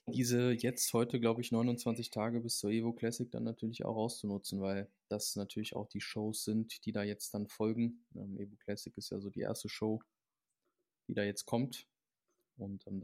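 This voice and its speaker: Male athlete guest, lowish flat voice, relaxed and rambling. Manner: rambling, relaxed, frequent fillers. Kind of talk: casual podcast chat.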